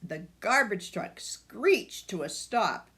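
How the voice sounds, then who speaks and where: A woman's voice: mid-range voice, sing-song, slow, a bit bright. An older woman reading a children's story aloud, with asides to her young listeners. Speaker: storybook reader.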